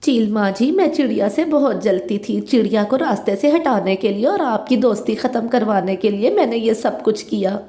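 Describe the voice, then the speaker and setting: A woman's voice: a little high, expressive, normal speed, hardly any pauses, dark tone, lightly acted. A woman voicing a crafty, emphatic female crow in a children's cartoon, with roomy echo. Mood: remorseful. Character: jealous, scheming female crow, later repentant. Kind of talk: Hindi children's cartoon dubbing.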